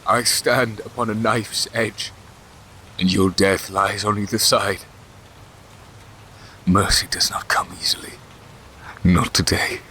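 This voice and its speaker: A cold, wrathful warrior king in an audio drama. His low voice is sharp-edged and forceful. He speaks with formal, archaic command. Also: fairly even intonation, slow, long pauses, slight background noise. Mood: cold anger. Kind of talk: fantasy audio drama acting.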